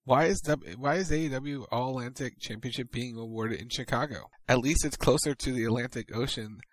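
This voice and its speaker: A man's voice: mid-range voice, brisk, dry recording. A man co-hosting a casual wrestling talk radio show, dry and matter-of-fact as he reads listener posts. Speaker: podcast co-host.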